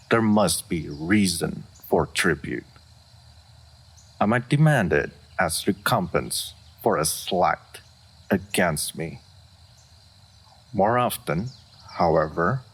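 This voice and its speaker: A man acts out an ancient, regal male dragon in human form for a fantasy audio drama. The voice is very low and husky, with slow, deliberate phrasing. He is guarded and stoic, choosing formal words. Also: exaggerated voice. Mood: aloof, formal. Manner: formal, measured, stoic speech with deliberate pauses. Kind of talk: scripted fantasy audio roleplay.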